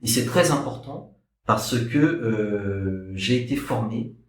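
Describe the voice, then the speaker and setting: A middle-aged man giving a spoken personal account as a historian and activist, discursive and halting, repeating points for emphasis. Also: on the low side, animated, a little hiss or hum. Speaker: historian and activist guest speaker. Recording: echoey room, distant microphone.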